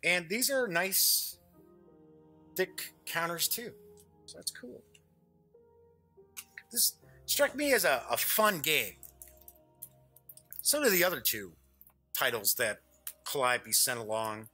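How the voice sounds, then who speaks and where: A man with a bright, forward voice talks through an unboxing off the cuff. His pitch moves around in a lively way as he chats, jokes and mutters little asides to himself. Faint music sits behind him. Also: slow pace, long pauses. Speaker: host of a tabletop game review show. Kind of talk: casual off-the-cuff unboxing commentary.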